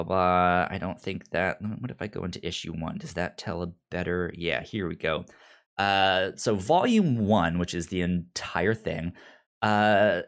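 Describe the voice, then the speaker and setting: A man chats casually as a podcast host, taking his time over the words. His pitch moves around a lot, and he often catches on syllables and repeats them before moving on. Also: clean tone. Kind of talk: casual unscripted podcast chat.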